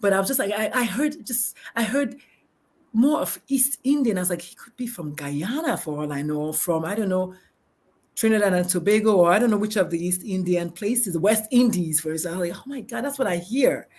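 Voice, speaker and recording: A woman with a Nigerian accent hosting a live interview, talking in an excitable, rambling, effusive way. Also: mid-range voice, fairly quick. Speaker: host.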